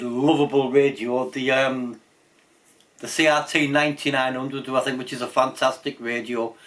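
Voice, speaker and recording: Male hobby vlogger, medium pitch, loose unscripted delivery that wanders and doubles back. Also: a bit bright. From England. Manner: rambling, repeats himself. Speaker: amateur radio channel host. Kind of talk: casual unscripted vlog talk.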